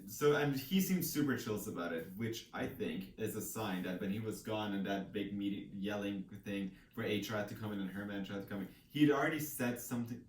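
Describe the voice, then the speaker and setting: A man with a lowish voice co-hosts a casual book discussion. He retells stories loosely, slipping into quoted dialogue as he goes. The room is very echoey and he sits back from the microphone. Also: fairly quick, few pauses, recorded from a distance. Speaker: co-host of a book discussion show.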